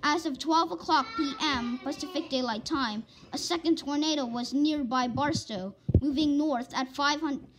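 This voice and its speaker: A woman with a high voice delivers a scripted emergency weather warning. She speaks formally, as an alert announcer reading a bulletin. Faint noise sits behind her voice.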